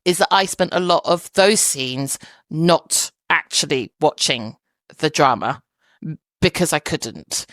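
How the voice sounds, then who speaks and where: A woman's voice: deep voice, animated, slow, fairly bright tone, dry recording, clean studio sound. A woman guest on a podcast in casual chat, thoughtful and hedging, laughing easily.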